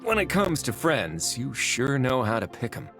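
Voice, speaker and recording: An actor playing a calm, teasing prison warden with a dry manner. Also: male voice, mid-range voice, normal speed. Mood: wry. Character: calm prison warden man, wry and composed. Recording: game audio with music behind.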